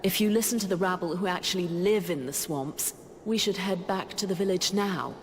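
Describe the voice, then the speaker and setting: A woman's voice: lowish voice, a little fast, close to an everyday voice. A woman playing an earnest, cautious companion to a hero in a video game. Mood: urgent, advising. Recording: long reverb, faint ambient noise.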